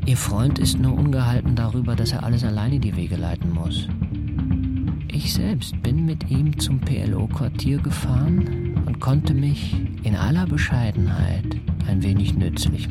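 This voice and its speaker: An actor playing an obliging, insinuating male fixer in a radio play, polite, wordy and matter-of-fact. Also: fairly low pitch. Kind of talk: German radio play acting. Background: guitar music.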